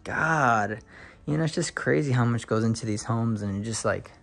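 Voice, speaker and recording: A young man filming a casual home tour on a handheld phone, talking to viewers with excited exclamations and lots of fillers. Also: mid-range voice, moderate pace, creaky. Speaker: real estate video host. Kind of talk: casual walkthrough narration. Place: inside a large empty house.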